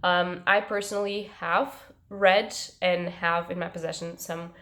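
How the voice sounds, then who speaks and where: A woman's voice: lowish voice, steady tone, a little slow, fairly bright tone. A woman vlogging about books in a casual, rambling, self-mocking way, her voice congested and coming through her nose from allergies. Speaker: book vlogger. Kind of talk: casual chat to camera.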